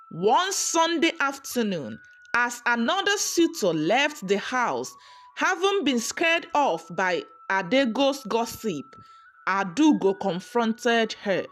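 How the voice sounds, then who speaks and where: Female storyteller for folktale narration: slow delivery, highish and lively pitch, music underneath.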